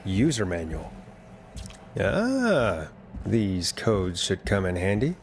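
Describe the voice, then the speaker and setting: A man acting a steady, helpful small-town radio DJ in a video game, with a composed delivery. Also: low voice, slow, slight background noise, full character voice. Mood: calm.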